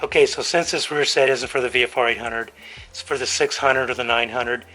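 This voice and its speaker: Middle-aged American male host, slightly hoarse, casual and colloquial how-to delivery, music underneath. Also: mid-range voice, steady tone, a little fast, pushed and bright, rough recording. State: slightly hoarse from being sick. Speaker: host of a motorcycle build series. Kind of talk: casual how-to narration to viewers. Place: home garage workshop.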